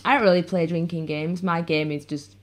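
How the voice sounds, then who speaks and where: A woman's voice: on the low side, fairly even intonation, fairly quick, a little hiss or hum. A woman with a Yorkshire accent, a guest and vlogger chatting casually and rambling a little. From England. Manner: chatty, rambling, laughs easily. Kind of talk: casual chat.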